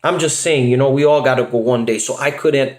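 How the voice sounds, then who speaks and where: A middle-aged man hosting a casual video chat in a roomy, echoey space. His voice sits at an average pitch. He talks earnestly and emphatically, repeating himself and dropping in slang like bro and man. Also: fairly quick. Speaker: host of a reaction video channel.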